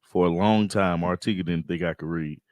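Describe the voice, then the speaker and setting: Laid-back man, low voice, joking. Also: fairly even intonation, moderate pace, darkish tone, no echo. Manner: playful, laughs often. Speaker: co-host of a live coffee chat show. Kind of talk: casual live chat.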